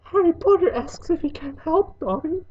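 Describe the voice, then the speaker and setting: A woman voicing an earnest, servile male house elf in a storybook reading, excitable and prone to tears, with a shrill voice. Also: moderate pace, muffled recording. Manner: speaks of self in third person, deferential.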